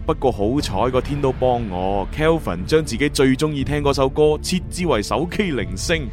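Man acting animated, cocky Cantonese agent from the future. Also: mid-range voice, moderate pace, lightly acted. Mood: animated, candid. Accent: Hong Kong Cantonese. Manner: animated, boastful storytelling. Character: cocky, boastful young male agent from the future. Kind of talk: radio drama acting. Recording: music behind the voice. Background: background music.

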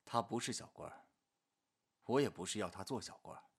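A man playing a cool, guarded imperial official, terse and quietly domineering, in a Chinese costume audio drama. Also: fairly low pitch, a little fast, husky. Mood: cool, quietly menacing. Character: cool, commanding male imperial court official, possessive and guarded.

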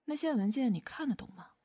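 A woman playing a cold, vengeful company boss in an audio drama, speaking in a contemptuous, commanding way with a breathy voice. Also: on the high side, mellow, heavily acted. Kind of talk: modern audio drama acting. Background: faint music.

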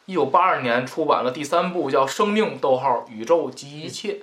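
Higher-voiced man presenting information. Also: animated, moderate pace, a bit bright. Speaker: podcast co-host.

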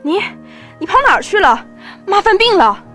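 A young woman acting a thrifty, sharp-tongued working wife in an amateur student radio drama. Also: extremely high pitch, big pitch swings, moderate pace, heavily acted. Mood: frantic. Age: young adult. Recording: loud music behind the voice. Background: music with violin.

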